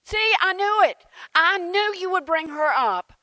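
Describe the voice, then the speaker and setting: High, animated, defensive churchgoer played by a woman. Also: unhurried, dry recording, exaggerated voice. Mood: vengeful. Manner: animated, indignant, exclaiming. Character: defensive, flustered churchgoing woman praying to God. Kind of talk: dramatic reading of a comic church sketch.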